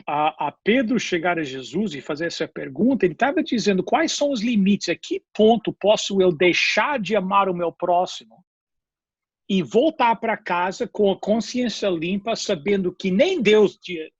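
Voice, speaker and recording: A man with an English accent, a pastor whose first language is English, talks in a panel discussion, hunting for words and dropping in English fillers. His voice sits a little high and comes out husky. The audio is dull and lo-fi, with a dry, close sound. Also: dry recording.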